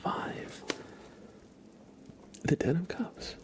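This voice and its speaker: Male ASMR host, close-miked breathy whisper, leisurely tempo, hushed and casual with frequent gaps. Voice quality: breathy whisper with creaky voiced patches. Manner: unhurried, hushed, pausing often. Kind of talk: whispered ASMR, casual tarot card reading and chat with viewers.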